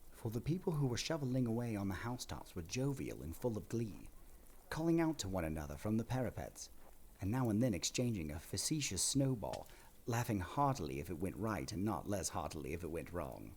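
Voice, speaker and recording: A man narrates a story theatrically in a low voice. His intonation is very lively and expressive, with faint ambient noise behind him. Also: a little fast. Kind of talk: scripted dramatic storytelling narration.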